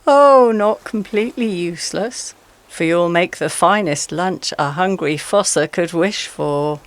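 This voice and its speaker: A woman playing a sly, flattering predator animal, a fossa, coaxing with mock deference in a radio play. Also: lowish voice, a little slow. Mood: sly, gloating. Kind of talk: radio play character acting.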